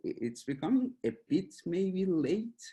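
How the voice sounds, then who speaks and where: Iranian-born man giving a relaxed webinar talk. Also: high-pitched, unhurried. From Iran. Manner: relaxed, searching for words, with small laughs. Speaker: machine learning researcher giving a webinar.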